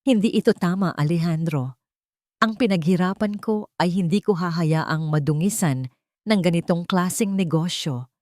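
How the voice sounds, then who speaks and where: A woman's voice: on the low side, moderate pace, darkish tone, no room sound, studio quality, close to an everyday voice. A woman voicing a principled, indignant older father in a dramatic story.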